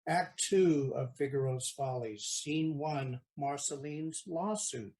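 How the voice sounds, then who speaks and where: A man's voice: on the high side, slow, a little mellow. A man narrating an audio play, reading out scripted stage directions.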